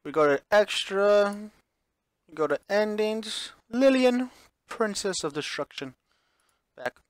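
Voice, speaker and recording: A man's voice: high-pitched, expressive, slow pace, no echo. Man live-streaming a game, very dry sound. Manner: stumbles over words and corrects himself when reading. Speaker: streamer playing and narrating a visual novel. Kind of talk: casual live game commentary and reading game text aloud.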